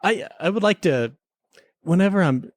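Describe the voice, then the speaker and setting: A man's voice: sits high, lively intonation, normal speed, dry recording, clean studio sound. A man talking casually as a podcast co-host, giving his review of a TV episode.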